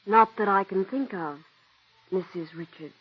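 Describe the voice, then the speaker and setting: An actress playing a scheming young actress and blackmailer, poised, silky and taunting, in a 1940s radio drama. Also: female voice, medium pitch, measured pace, husky. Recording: dull old radio, slightly off-mic.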